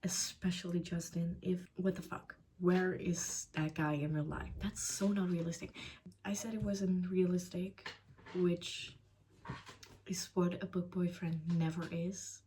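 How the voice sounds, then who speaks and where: A woman's voice: fairly low pitch, steady tone, unhurried, mellow, a little hiss or hum. A young Dutch woman giving a casual, blunt book review in English with a Dutch accent. She swears freely and often drops into a whisper. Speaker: book vlogger.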